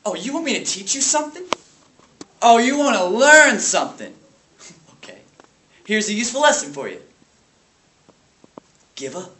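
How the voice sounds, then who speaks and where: A man's voice: sits high, big pitch swings, a little slow, bright, forceful tone, voice pushed up, heavily acted. A man performing a theatrical monologue as an angry, defeated substitute teacher, sarcastic and explosive, ranting at children. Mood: bitter sarcasm. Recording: echoey room with faint noise.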